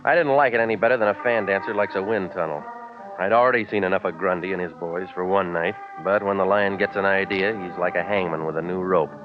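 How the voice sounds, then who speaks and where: An actor playing a cynical, wisecracking American private eye, deadpan and weary, in 1940s radio noir style. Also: male voice, low voice, quick pace, narrow, tinny audio, over music, lightly acted. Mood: wry, world-weary. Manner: deadpan, sardonic. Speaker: lead investigator and narrator. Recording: thin, band-limited old radio recording.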